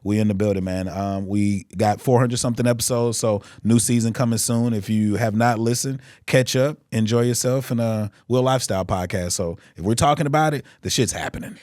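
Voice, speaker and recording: Man, deep voice, lively inflections, slangy, dry close studio sound. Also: fairly quick, few pauses, no echo. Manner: deep voice, animated, slangy and emphatic. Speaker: podcast guest. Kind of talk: casual interview chat. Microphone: studio podcast mic.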